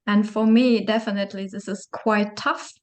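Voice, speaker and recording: A woman's voice: mid-range voice, fairly even intonation, moderate pace, a little mellow. A woman interviewing a guest, speaking thoughtfully and searching for her words. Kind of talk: reflective conversational interview.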